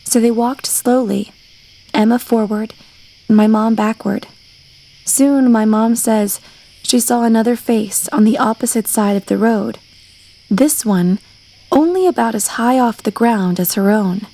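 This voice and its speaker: A woman's voice: on the high side, a little slow, dark tone, poor audio. A woman reading a scripted scary story as its narrator.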